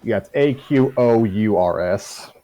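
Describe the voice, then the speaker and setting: A man's voice: lowish voice, unhurried, mellow, soft background music. A man chatting casually and chiming in with short remarks. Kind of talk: casual chat.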